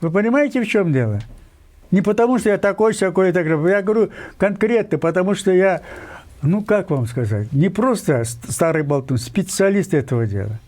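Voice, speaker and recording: An older man from Kyrgyzstan speaks as an interview guest, his voice sitting unusually high for a man. He presses his points insistently, wandering off on tangents and filling pauses with hesitations. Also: lively intonation, a little mellow. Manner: insistent, digressive, many pauses and fillers. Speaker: guest writer. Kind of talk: emphatic interview talk.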